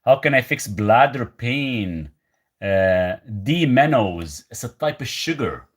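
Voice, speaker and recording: A man's voice: mid-range voice, slow pace, breathy edge. A man from Lebanon hosting a live stream from his rural home, casually reading viewer questions aloud and answering them. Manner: relaxed, rambling, reads comments aloud then answers. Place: rural property, country home.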